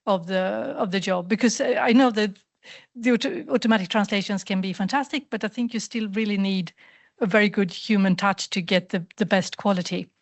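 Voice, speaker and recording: A woman's voice: mid-range voice, a little fast. A woman asking a rambling, hesitant question from the audience, with lots of fillers and restarts. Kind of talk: spontaneous question from the floor.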